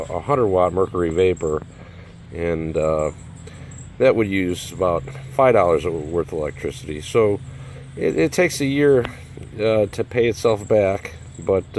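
Low-voiced man reviewing a light. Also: darkish tone, slight background noise. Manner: plain, practical, with many fillers and restarts. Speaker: product reviewer. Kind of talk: casual product review. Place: home, over a glass patio table, then outdoors in a backyard.

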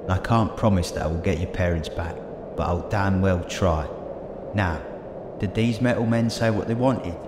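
An eccentric, reassuring time-travelling hero acted by a man in a sci-fi audio drama. His low voice muses earnestly through echo and loud background noise. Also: moderate pace, close to an everyday voice. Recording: echoey amateur audio drama, very noisy background.

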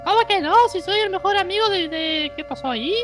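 A woman's voice: very high-pitched, fairly quick, soft background music. A woman voicing a tiny, childlike, insistent game character giving urgent warnings in a Minecraft roleplay.

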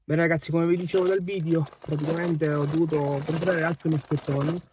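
Young male vlogger in a car, close phone mic, slightly high voice, faint noise behind. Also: fairly quick. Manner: relaxed, shows parts to camera. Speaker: home gym vlogger. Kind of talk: casual update vlog. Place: inside a parked car. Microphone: phone held close.

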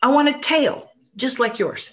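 A woman's voice: sits high, unhurried, pitched above the performer's own voice. A woman voicing an old, sleepy male snapping turtle who rules the river, answering bluntly. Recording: dull lo-fi recording.

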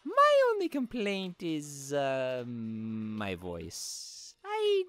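Man as lively cartoon plumber hero. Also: medium pitch, extremely slow, exaggerated voice. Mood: chatty. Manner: friendly, animated, teasing. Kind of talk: cartoon fan-dub character acting. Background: music.

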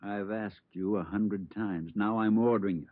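A man's voice: on the low side, fairly even intonation, normal speed, lightly acted. Man acting a menacing, desperate bomber, muffled old radio. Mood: angry, agitated. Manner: barking orders, threatening, sneering. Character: desperate, menacing male bomber holding police at bay. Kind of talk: old-time radio crime drama acting.

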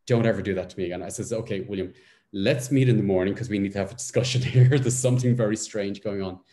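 A man's voice: fairly low pitch, quick pace. A Dublin Irish man in his forties, a guest telling his life story on a video call from his living room with intense energy. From Ireland. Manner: intense, animated storyteller with hand gestures. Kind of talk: casual chat, personal story.

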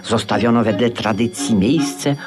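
A man's voice: mid-range voice, a little slow, music in the background, close to an everyday voice. A man acting a solemn storyteller, narrating a grotesque tale in a 1950s radio play.

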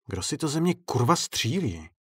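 A man playing an irritable, frightened circus director in an audiobook story, indignant and quick to swear. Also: medium pitch, sing-song, breathy edge, no echo. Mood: disbelieving.

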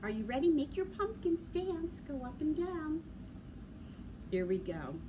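A middle-aged woman leads a children's rhyme session in a high, very animated voice. She speaks slowly and encouragingly, with simple instructions for little ones. She is recorded by a camera in a room with clear background noise. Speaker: children's library story-time leader. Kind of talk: children's sing-along and rhyme session, talking to young children. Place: indoor library room with large windows. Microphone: camera a few feet away. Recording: clearly noisy room ambience.

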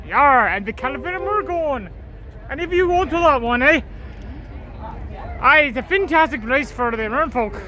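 A woman's voice: high voice, animated, moderate pace, long pauses, bright, forceful tone. A woman vlogger walking through an outdoor convention promenade, giving casual commentary in a put-on pirate manner with growled "arr" interjections. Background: faint crowd chatter.

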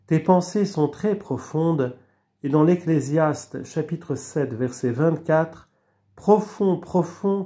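A man slowly reading aloud from an old theology book, measured and deliberate, with small restarts. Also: on the high side, warm tone. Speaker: reader of a religious book. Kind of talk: slow read-aloud of a theology text.

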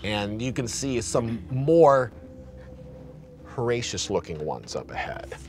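A man's voice: medium pitch, big pitch swings, takes it slowly, darkish tone, slight background noise. A man in his thirties narrating a tabletop horror game as game master, rambling and vivid, recorded on a studio set. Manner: descriptive, rambling, many restarts.

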